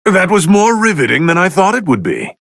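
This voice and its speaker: A haughty god of destruction as a video game fight commentator. The voice is bright, sharp and fairly high for a male, swooping with condescending, theatrical refinement. He sounds like he is easily bored by mere mortals. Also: wildly swooping pitch, brisk, vocal fry, dry recording, clean studio sound, heavily acted. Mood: aloof, appraising. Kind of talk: English video game voice lines, match commentary.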